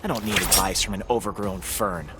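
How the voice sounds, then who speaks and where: A man's voice: lowish voice, a little fast, very noisy, natural voice. A man playing an arrogant, snide young vigilante in a fighting game, cocky and dismissive. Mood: scornful. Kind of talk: fighting game character dialogue. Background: loud music.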